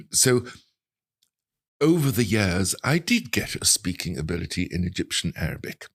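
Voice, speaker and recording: An older man of about seventy with an English accent, telling anecdotes in a reflective, articulate and measured way as an interview guest. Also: sits low, sing-song, gravelly, husky. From England. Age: older, around 70. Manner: articulate, measured storytelling with precise wording. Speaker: interview guest, translator and writer.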